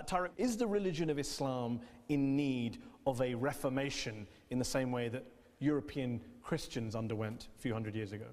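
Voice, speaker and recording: A man's voice: fairly high pitch, darkish tone. Pressing male interviewer in a reverberant hall. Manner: pressing, interrupting, rhetorical questions. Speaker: TV interviewer host. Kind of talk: confrontational broadcast interview. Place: debating hall with audience.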